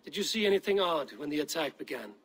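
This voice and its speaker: An actor playing a stern Viking warrior in a video game, questioning people in a measured, grave way. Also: male voice, a little high, lively intonation, a bit bright, husky. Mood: intent, questioning. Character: stern probing Viking warrior investigating a betrayal. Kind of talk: video game cutscene dialogue.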